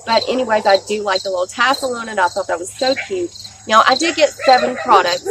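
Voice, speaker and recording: A woman's voice: a little high, fairly quick. A woman, chatty and enthusiastic, reviewing makeup in her backyard, her voice a little scratchy from a sore throat. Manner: chatty, enthusiastic, says "y'all" and "guys" often. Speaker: beauty vlogger. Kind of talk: casual product review. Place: outdoors in a backyard. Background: crickets and insects, barking dogs.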